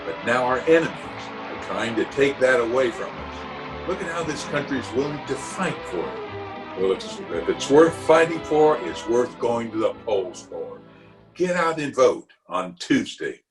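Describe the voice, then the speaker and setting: A man's voice: mid-range voice, lively intonation, lightly acted, low-quality recording. A man playing a blustery, genial middle-aged small-town uncle in a pompous, theatrical 1940s radio comedy style. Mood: earnest. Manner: pompous, theatrical, with drawn-out hesitations and chuckles. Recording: music behind the voice, remote home recording.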